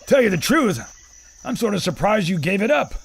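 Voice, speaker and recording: Man playing a brash, high-voiced adventurer pilot. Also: very animated, brisk, creaky, slightly rough, heavily acted. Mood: breezy, confident. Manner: cocky, theatrical, old-time slang. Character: brash adventurer filmmaker and war veteran pilot. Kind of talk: pulp adventure audio drama acting.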